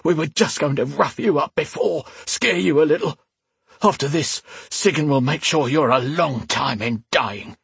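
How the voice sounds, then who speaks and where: A man voicing a gruff, crude hired thug in an audiobook, pained and growling through clenched teeth. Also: on the high side, moderate pace, fairly bright tone, no echo, pitched above the performer's own voice, full character voice. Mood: pained, snarling. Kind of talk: dramatic audiobook character voice.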